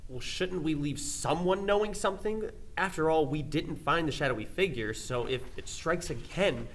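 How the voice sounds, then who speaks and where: A man's voice: fairly high pitch, normal speed, a bit bright, slight background noise. A man playing an uneasy, deferential lab assistant in a radio drama, hesitant and placating. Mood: uneasy, protesting. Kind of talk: superhero comedy radio drama acting.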